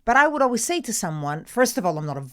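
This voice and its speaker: A woman's voice: on the high side, big pitch swings, rapid-fire. A middle-aged Italian woman, a newsreader guest, talking casually and emphatically with an Italian accent, often laughing. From Italy. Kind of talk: casual interview chat.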